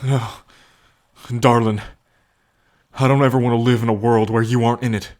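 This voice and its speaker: A man playing a gentle, devoted bull man with a violent past in an ASMR roleplay, speaking earnestly and tenderly with halting pauses. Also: medium pitch, little pitch movement, natural voice. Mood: tender devotion. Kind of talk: ASMR roleplay addressed to the listener.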